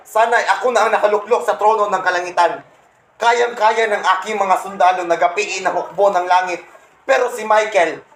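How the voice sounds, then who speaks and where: Satan for a comic radio play, acted by a young man: high voice, pompous, bitter, scornful, in an echoey room. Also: gravelly, fairly bright tone. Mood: bitter, indignant. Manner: pompous, scornful, punctuated with cackling laughs. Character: boastful, resentful male Satan, fallen angel leader of demons. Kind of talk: comedic radio play acting. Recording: roomy echo, faint background noise.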